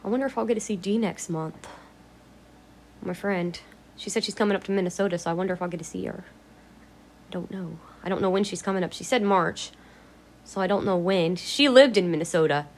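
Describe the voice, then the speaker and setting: A woman vlogging in a casual, rambling way, her voice a little raspy from allergies, blunt and free with swear words. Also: fairly low pitch, brisk, long pauses, a little hiss or hum. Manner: chatty, blunt, swears freely. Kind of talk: casual rambling vlog chat.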